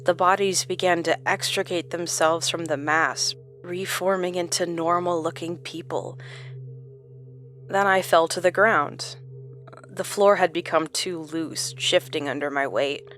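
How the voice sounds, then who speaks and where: Casting: female actor for haunted, confused woman, horror audio drama. Lower-pitched, solemn, introspective, measured, light music underscore. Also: steady tone, a bit bright. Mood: eerie, solemn. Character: troubled, confused woman haunted by fractured memories and identities.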